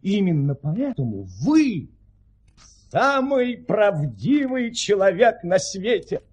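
Excitable count with a husky voice. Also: male voice, sits high, takes it slowly, heavily acted. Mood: impassioned. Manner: effusive, theatrical. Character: excitable, reverent count, devoted admirer of a baron. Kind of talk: Soviet radio play acting.

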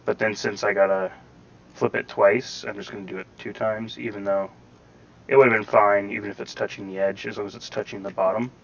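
A man's voice: fairly low pitch, steady tone, fairly quick, vocal fry, highs cut off. A man giving casual gameplay tutorial commentary, rambling step by step with some hedging, his voice sounding slightly robotic over the recording. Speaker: gaming tutorial host. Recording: slightly robotic processing, faint background noise.

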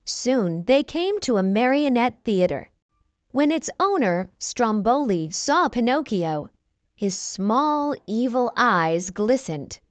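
Slow expressive woman reading a storybook. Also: fairly high pitch, no echo. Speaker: children's book reader. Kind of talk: storybook read-aloud narration.

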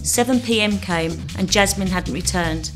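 A woman playing a measured, level-headed senior ward nurse in a scripted drama. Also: mid-range voice, over music, lightly acted.

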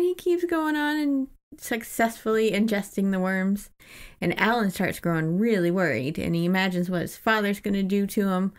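A woman co-hosting a podcast, casually retelling and discussing a book in an irreverent, chatty way. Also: lowish voice. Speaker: podcast co-host.

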